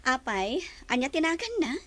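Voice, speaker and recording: A devoted mother with an anxious streak. Her voice is high, with a Filipino accent and the delivery of a radio drama. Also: big pitch swings, normal speed, fairly bright tone, dry recording. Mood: puzzled, uneasy. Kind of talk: Philippine radio drama acting.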